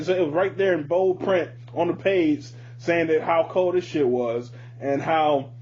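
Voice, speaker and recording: A man with a fairly high voice talks casually as a podcast co-host. He feels his way haltingly through sentences, repeating words and swearing often. The audio is slightly muffled, with a faint hum underneath. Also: darkish tone. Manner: halting, repetitive, profane. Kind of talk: casual music discussion.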